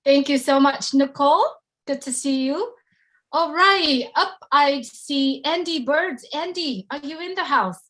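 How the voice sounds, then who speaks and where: A woman hosting a live online event, giving quick upbeat handoffs between speakers. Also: sits high, sharp tone. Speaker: event host.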